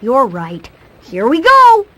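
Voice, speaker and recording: A woman's voice: high-pitched, wildly swooping pitch, takes it slowly, a bit bright, breathy edge, heavily acted, rough recording. A woman voicing a precise, curious boy genius in a cartoon video game, with a bookish, eager manner. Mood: assured.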